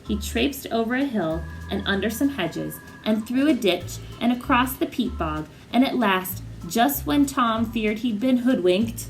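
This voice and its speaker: A woman storyteller reading a picture book aloud to children in an expressive, animated way. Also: fairly high pitch, measured pace, few pauses, faint music behind, poor audio.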